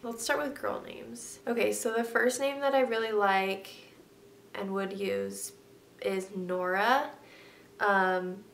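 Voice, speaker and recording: A young woman talks to her camera in a loose, rambling way, full of ums and likes. Her nose is stuffy, so her voice sounds congested. The room is echoey, with a faint low rumble underneath. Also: a bit bright. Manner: chatty, many ums and likes. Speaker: vlogger. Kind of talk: casual sit-down vlog chat.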